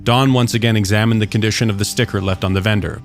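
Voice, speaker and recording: Guy with a lowish voice narrating an audio story, reading from a script, music behind him. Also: fairly even intonation, fast talker. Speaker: author and narrator of short stories.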